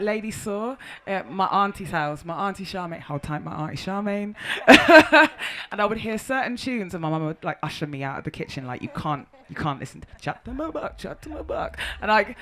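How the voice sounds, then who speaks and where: A woman talks on a festival panel, her voice bright and forceful. She tells her stories with lively, animated energy and laughs often. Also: medium pitch, moderate pace, few pauses. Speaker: panel guest, music artist.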